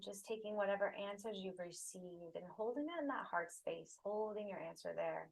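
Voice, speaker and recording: A woman leading a guided meditation, giving soothing, unhurried instructions in short phrases with pauses between them. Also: medium pitch, vocal fry, low-quality recording.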